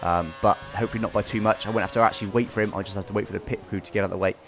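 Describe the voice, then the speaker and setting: A man's voice: lowish voice, fairly even intonation, racing, background noise. A man giving casual, explanatory commentary over his own racing game race, recapping as he goes.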